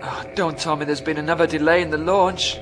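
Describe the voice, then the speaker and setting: An actor playing a lonely, stranded astronaut fraying on a moon base in a radio drama, emphatic and agitated. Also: male voice, fairly high pitch, fairly even intonation, a little fast, fairly bright tone, slight background noise, close to an everyday voice. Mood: hopeful, dismayed. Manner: emphatic, self-addressing, agitated.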